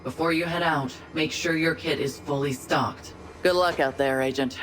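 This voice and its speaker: A woman playing a steady, candid agent and acting commander in a game cutscene, briefing in a matter-of-fact, blunt way. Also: low-pitched, normal speed, a little hiss or hum. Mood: encouraging. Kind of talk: scripted game cutscene dialogue. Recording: music behind the voice.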